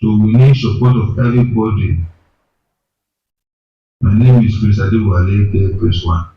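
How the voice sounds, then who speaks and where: A man's voice: fairly low pitch, unhurried, heavy reverb, far from the mic, highs cut off, slight background noise. A man whose first language is Yoruba, calling in and making an impassioned, repetitive political appeal in English. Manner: emphatic, repetitive pleading. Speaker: caller.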